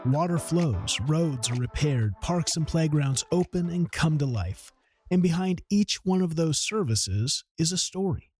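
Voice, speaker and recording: A man with a fairly high speaking voice hosts a podcast, moving from a polished, earnest scripted opening into easy interview talk. The sound is dry and close, with no room around it. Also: a little mellow, no echo. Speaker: podcast host. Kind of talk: scripted intro then conversational interview.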